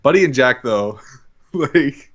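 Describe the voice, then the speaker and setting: A man's voice: mid-range voice, animated, slow, fairly bright tone, dull audio. A young man chatting casually as a podcast guest, hesitant and hedging with lots of "like". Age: young adult. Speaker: guest amateur voice actor on a podcast. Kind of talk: casual chat.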